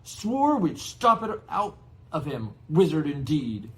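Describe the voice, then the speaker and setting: A man's voice: fairly high pitch, big pitch swings, takes it slowly, fairly bright tone, pitched above the performer's own voice, full character voice. A man voicing an angry, pompous middle-aged uncle with a blustering, indignant manner in a storybook reading.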